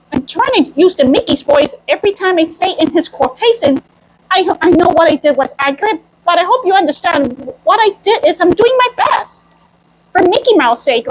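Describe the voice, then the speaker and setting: A woman with an extremely high, lively voice tells a story and chats in character. She plays at being a cartoon mouse girl, with a childlike, playful manner and animated swings in pitch. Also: moderate pace, low-quality recording. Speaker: storyteller in costume. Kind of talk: in-character storytime reading and chat.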